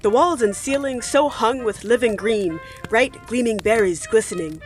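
A woman narrating an audio drama as a storyteller, with measured, expressive scripted delivery. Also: fairly high pitch, faint music behind, close to an everyday voice. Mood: storytelling. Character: storyteller narrating a classic Christmas ghost tale.

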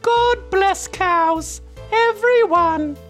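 Tiny earnest child: extremely high pitch, slow, sweet, cartoonish advert cameo. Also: female voice, faint music behind, exaggerated voice. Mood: earnest cheer.

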